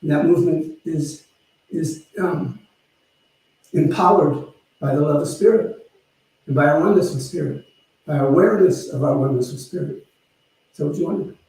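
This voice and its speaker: Very mellow man in an echoey room. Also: fairly high pitch, normal speed, long pauses. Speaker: spiritual center minister. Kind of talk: informal spiritual teaching talk. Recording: very echoey room, distant microphone.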